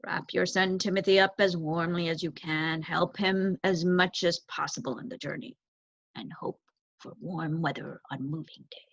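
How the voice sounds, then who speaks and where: Woman reading as a solemn blunt owl gentleman, very proper and measured, storybook style, dull dry audio. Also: on the low side, steady tone, gravelly, no room sound. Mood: grave, dismissive. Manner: formal, measured, pronouncing. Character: grave, formal old male owl, certain and blunt. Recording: dull, lo-fi close recording.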